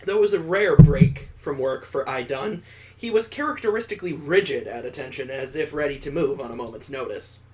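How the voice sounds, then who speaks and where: A man's voice: mid-range voice, brisk, a little mellow, slight background noise. A man hosting a let's play, reading a visual novel aloud and adding sarcastic joking asides.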